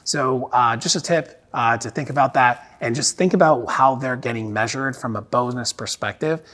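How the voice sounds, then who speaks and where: A man's voice: mid-range voice, moderate pace, a bit bright. A man hosting an explainer monologue, talking in a conversational, instructive way. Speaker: host. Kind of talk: explainer monologue giving money tips.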